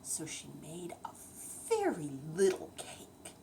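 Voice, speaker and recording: An older woman recites a bedtime tale in verse for children over a clearly noisy home recording. Her pitch moves animatedly and her pace is slow. The voice is breathy and often hushed, with creaky fry at the edges. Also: wildly swooping pitch. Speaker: bedtime storyteller.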